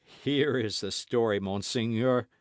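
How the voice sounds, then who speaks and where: A man's voice: medium pitch, a little slow, no echo, clean studio sound, voice pushed up, lightly acted. A man reading aloud, voicing a frightened innkeeper who pleads pitifully for mercy. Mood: pleading. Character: trembling, pleading middle-aged male innkeeper.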